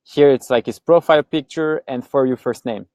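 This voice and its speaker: A man's voice: mid-range voice, normal speed, a little mellow, dry recording, studio quality. A man from Paris with a French accent, presenting his own results in a loose, chatty webinar talk full of fillers and restarts. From France.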